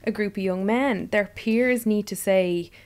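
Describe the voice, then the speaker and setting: A woman's voice: mid-range voice, unhurried, a little mellow. A woman in her early thirties speaking thoughtfully in a group discussion. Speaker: roundtable participant.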